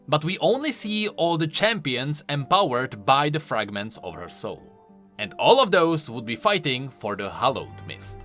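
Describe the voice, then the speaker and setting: A man's voice: a little high, pushed and bright. A man giving scripted, explanatory video commentary on game lore, stressing key words emphatically.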